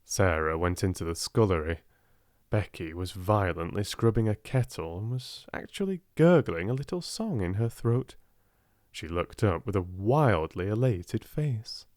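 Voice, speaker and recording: A man with a British accent reading a storybook as a soft-spoken audiobook narrator. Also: on the low side, animated, measured pace, dry recording, clean studio sound.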